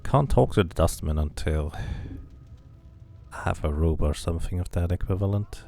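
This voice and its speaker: Very deep, gravelly-voiced man streaming a game. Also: normal speed, mellow. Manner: musing to himself, addressing viewers. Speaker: game streamer playing and reading aloud. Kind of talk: casual playthrough commentary with read-aloud narration.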